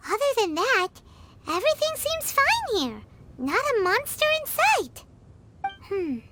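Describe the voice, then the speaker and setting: A woman voicing a tiny, chirpy, excitable female fairy companion in a video game, with a squeaky childlike voice and expressive, exclamatory delivery. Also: background noise. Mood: curious. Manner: refers to self in third person, exclamatory, expressive. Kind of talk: video game character dialogue. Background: game music.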